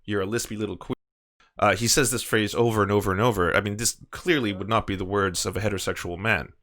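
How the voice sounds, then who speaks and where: A man's voice: lowish voice, fairly even intonation, fast talker, fairly bright tone. A man making a prank phone call, complaining with earnest, indignant insistence. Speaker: prank caller posing as churchgoing parent.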